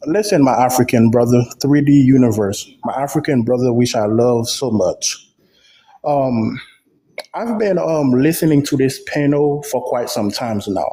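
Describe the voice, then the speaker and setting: A man's voice: mid-range voice, lively intonation, a little slow, dark tone, low-quality recording. Earnest man from Haiti debating. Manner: earnest, speaks at length in long run-on points. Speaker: panel debater. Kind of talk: impassioned debate. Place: at work. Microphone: headphones on a phone call.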